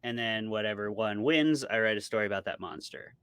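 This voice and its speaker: A man's voice: fairly low pitch, brisk, fairly bright tone, clean tone, no echo. A man being interviewed as an author, talking in a casual, explanatory and conversational way. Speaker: interviewed author, podcast co-host.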